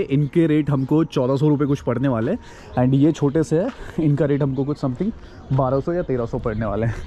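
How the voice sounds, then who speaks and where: A man's voice: mid-range voice, darkish tone. A young man giving a casual walk-and-talk travel vlog outdoors at a hillside campsite, chatting and mixing English words into his speech. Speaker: travel vlogger. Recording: faint outdoor noise.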